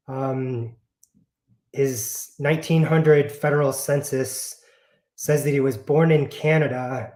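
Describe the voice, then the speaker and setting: A man presents research informally, halting often as he goes. His voice is mid-pitched and carries clear room echo, as on an online call. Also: a little mellow. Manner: halting, hesitant delivery. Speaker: genealogy researcher presenting findings.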